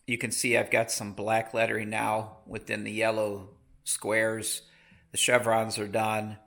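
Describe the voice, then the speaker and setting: A man with a cold, his voice rough and gravelly, chatting casually in his home workshop about building his aircraft, rambling and correcting himself as he goes. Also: on the low side, a bit bright. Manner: rambling, self-correcting, with hesitations. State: has a cold.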